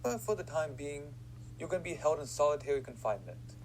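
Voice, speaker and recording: Slightly high male voice, an actor as stern prison doctor, sardonic, animated intonation, audio drama. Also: a little fast, noisy background, lightly acted. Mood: clinical, stern. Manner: clinical, sardonic.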